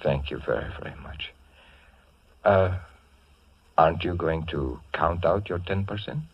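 A courteous, earnest Greek immigrant man in a 1940s radio play, with a very deep, rather husky voice. He speaks politely and guardedly, on a muffled vintage recording. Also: measured pace, no room sound, full character voice. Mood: grateful, courteous. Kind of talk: 1940s radio drama acting.

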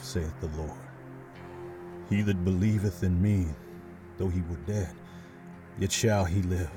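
An actor playing a weary, devout older cavalry sergeant in a western audio drama, measured and folksy. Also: male voice, low voice. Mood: solemn, prayerful. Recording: music behind the voice.

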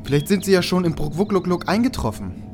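Lively, high-pitched flippant hunter hero played by a man. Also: fast talker, music in the background. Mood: cocky. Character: cocky, flippant hunter adventurer, a bumbling hero. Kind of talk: comic amateur audio drama acting.

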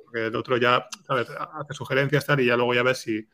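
A man's voice: medium pitch, fairly even intonation, brisk, bright, forceful tone. A man with an accent from Spain chatting informally as an interviewed screenwriter, often checking in with short tag questions. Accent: Spain Spanish. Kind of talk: casual chat.

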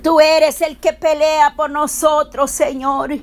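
A woman's voice: high voice, level tone, takes it slowly. A woman leading a fervent, emotional intercessory prayer, pleading and repeatedly calling out to God in a tearful voice.

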